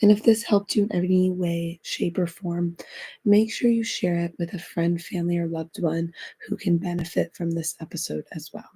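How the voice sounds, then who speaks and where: A woman recovering from being sick, raspy as she hosts a chatty, encouraging self-help podcast. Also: lowish voice, little pitch movement, normal speed, warm tone. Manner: chatty, encouraging, repeats phrases. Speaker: podcast host.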